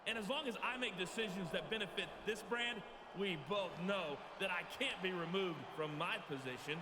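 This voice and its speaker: A man's voice: high-pitched, normal speed, background noise, natural voice. A man playing a firm, defiant wrestling authority figure in a video game.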